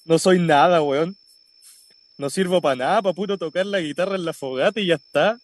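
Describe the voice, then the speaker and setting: A male actor as a despondent young Chilean musician in a radio play. His voice is notably high, his talk slangy and stubborn. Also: moderate pace, dry recording, natural voice. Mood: dejected. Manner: colloquial, slangy. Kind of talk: naturalistic radio drama acting.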